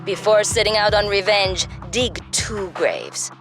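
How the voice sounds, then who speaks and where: A woman's voice: mid-range voice, sing-song, a little slow. A woman playing a cool, self-serving mission handler with a wry, laid-back way of talking in a video game. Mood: casual, wry. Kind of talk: video game cutscene acting. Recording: music behind, some hall reverb.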